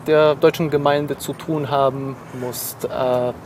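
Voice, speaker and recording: A man's voice: mid-range voice, flat delivery, a little slow, darkish tone, a little hiss or hum. A man working as a television interviewer, speaking in a conversational broadcast style.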